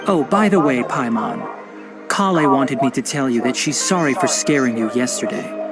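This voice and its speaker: A man voicing a calm, learned young male forest ranger and botanist in a video game, explaining things in a patient, precise, lecturing way. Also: medium pitch, normal speed, close to an everyday voice. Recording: loud game soundtrack music behind.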